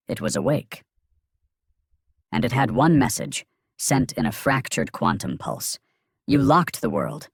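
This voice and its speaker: A man giving a dramatic scripted narration of a science fiction story, grave and in clipped phrases with a gravelly, husky voice. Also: mid-range voice, dry recording, studio quality.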